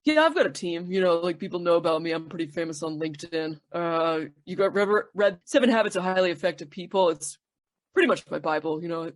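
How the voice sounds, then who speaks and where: A woman voicing a boastful, self-promoting business bro in a deepened voice with a smug drawl. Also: fast talker, dry recording, studio quality, lightly acted.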